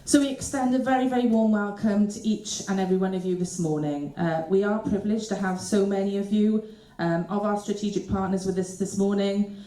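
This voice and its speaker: A woman's voice: mid-range voice, steady tone, fairly quick, warm tone, roomy sound, poor audio. A woman co-hosting an event, welcoming guests in a chatty way with many fillers. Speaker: event co-host. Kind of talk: welcome speech.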